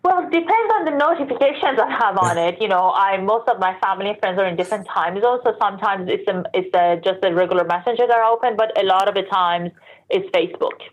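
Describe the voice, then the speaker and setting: The sound is dull and slightly lo-fi, carrying a woman's mid-pitched voice. She is from Iran and later moved to Canada. She speaks as an interview guest, explaining things conversationally and correcting herself along the way. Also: fast. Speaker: interview guest, sports industry professional. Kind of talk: casual interview answers. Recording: dull, lo-fi band-limited sound.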